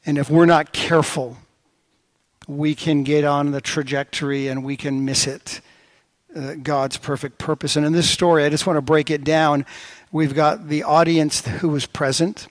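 A middle-aged man preaching a sermon as pastor, telling stories with lively swings of voice. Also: moderate pace, no room sound. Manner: storytelling with lively pitch swings.

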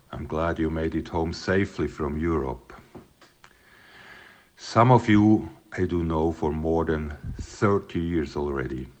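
A man in his fifties from Switzerland with a Swiss accent, giving a friendly greeting and a prayer. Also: low voice. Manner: friendly, unhurried. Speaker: guest sending a video greeting.

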